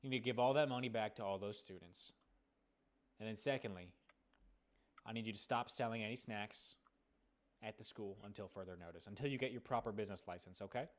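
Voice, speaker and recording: A man's voice: lowish voice, brisk, long pauses, close to an everyday voice. An American man playing a stern, bullying adult who officiously threatens a kid with a lawsuit in a prank call. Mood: officious, pressing. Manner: officious, pressing, repetitive.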